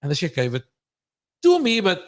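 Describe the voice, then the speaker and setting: Lively storytelling man, slightly high voice. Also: moderate pace. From not Egyptian. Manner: animated storyteller, acts out quoted lines. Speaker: podcast host. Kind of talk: casual conversation.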